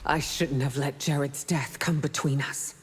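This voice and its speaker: An actress playing a regal, commanding empress in a video game, with an imperious, dignified manner. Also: female voice, extremely low pitch, steady tone, measured pace, over loud music. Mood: commanding, solemn. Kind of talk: video game character acting. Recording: reverberant hall with clear noise.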